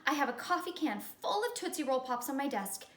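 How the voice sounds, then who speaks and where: Woman as a brisk, high-voiced bossy schoolteacher. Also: lively intonation. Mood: approving, firm. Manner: firm, instructing. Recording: echoey room.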